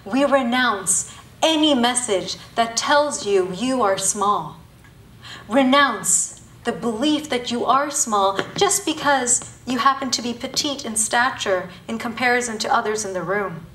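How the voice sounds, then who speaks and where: A woman in her thirties from Bangladesh performs a spoken-word piece live, her slightly high voice pressing each listed word with emphatic, rhetorical pauses. She sounds set back from the microphone, and a roomy hall echo trails her lines. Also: a bit bright. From Bangladesh, raised in Thailand. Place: university auditorium before an audience. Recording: echoey hall, voice from a distance.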